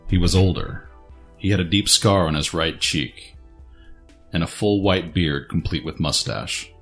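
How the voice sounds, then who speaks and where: A man's voice: low voice, a little slow, fairly bright tone, soft background music. A man narrating a scripted dramatic story as the narrator of an audio drama.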